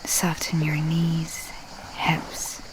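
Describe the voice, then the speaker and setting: A woman leading a guided sleep meditation in a soft, breathy, half-whispered and soothing way, with long pauses. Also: sits low, completely flat delivery, poor audio.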